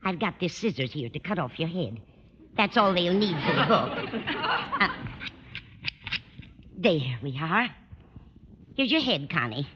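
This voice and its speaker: A woman's voice: lowish voice, big pitch swings, measured pace, pauses often. A woman playing a fussy, scatterbrained, kindly older landlady in a 1950s radio sitcom, with a fluttery manner. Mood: blithe. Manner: fluttery, absent-minded. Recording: muffled old radio broadcast, faint noise.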